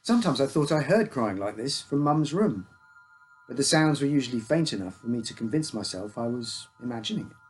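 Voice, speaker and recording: A man narrating a scripted, uncanny first-person story in a measured, expressive storytelling manner. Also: medium pitch, husky. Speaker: author reading own story.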